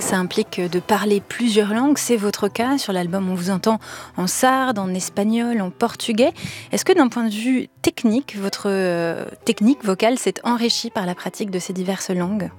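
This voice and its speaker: A woman's voice: mid-range voice, normal speed, a little mellow. A woman radio host with a mid-range voice. She asks her questions in a composed, articulate way. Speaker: radio interviewer. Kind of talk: interview questions.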